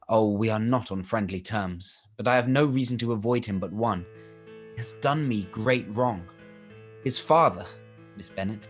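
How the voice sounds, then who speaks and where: Guy playing a charming young army officer, confiding, measured, radio play with music under it. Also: lowish voice, close to an everyday voice. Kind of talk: live-read period radio play acting.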